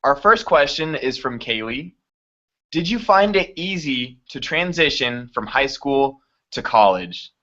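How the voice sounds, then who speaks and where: A man's voice: mid-range voice, animated, a little slow, sharp tone, muffled recording. A man moderating a live student web chat, upbeat and conversational.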